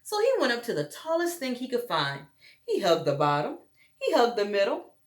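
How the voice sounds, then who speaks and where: A woman's voice: fairly high pitch, wildly swooping pitch, normal speed. A woman reading a story aloud to young children, expressively and with dramatic emphasis, addressing them as friends. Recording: roomy echo.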